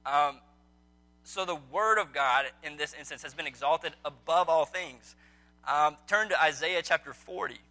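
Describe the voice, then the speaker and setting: Bright-voiced man teaching a Bible study. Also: a little high, unhurried, poor audio. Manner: conversational, quizzes listeners, frequent ums. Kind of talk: casual teaching talk to a small group. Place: indoor meeting room with a group.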